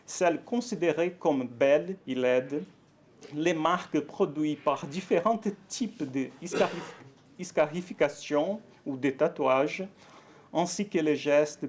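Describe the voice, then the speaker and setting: A Brazilian man with a Portuguese accent giving a conference talk in French, halting and careful, with frequent fillers and self-corrections. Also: a little high. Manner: nervous, warm, laughs lightly. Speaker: academic speaker at a conference.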